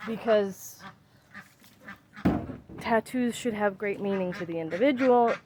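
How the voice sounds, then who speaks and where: A woman's voice: medium pitch, measured pace, background noise. A woman in her thirties chatting casually in a vlog, rambling and emphatic as she works outdoors in her backyard. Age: 30s. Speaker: vlogger.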